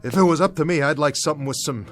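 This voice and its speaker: An actor playing a nervous, meek small-time crook, stammering and pleading in a radio drama. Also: male voice, a little high, rapid-fire, close to an everyday voice. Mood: anxious, whining. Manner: stammering, repetitive, anxious, pleading. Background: music.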